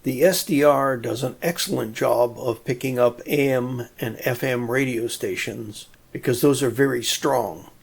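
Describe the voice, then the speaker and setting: A man's voice: mid-range voice, unhurried, vocal fry, few pauses, slightly rough. A man giving an explanatory technical narration in a methodical, plain-spoken way with a touch of dry humour. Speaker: presenter of an electronics tutorial.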